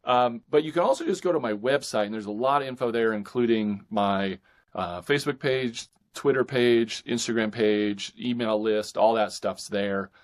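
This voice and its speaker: A man's voice: mid-range voice, moderate pace. A man talking casually as an author guest in a podcast interview.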